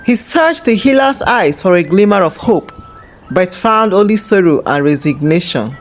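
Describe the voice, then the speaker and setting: A woman narrating a scripted folktale as host, in a measured, dramatic storytelling manner. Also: on the low side, sing-song, faint music behind.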